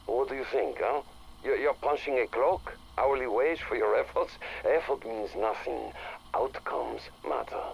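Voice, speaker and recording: Gravelly, taunting crime boss. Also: male voice, mid-range voice, very animated, a little slow, full character voice, poor audio. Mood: menacing. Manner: taunting, tags questions with "huh". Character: menacing, sardonic crime boss and hired enforcer. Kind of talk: dramatic dialogue in a game audio log.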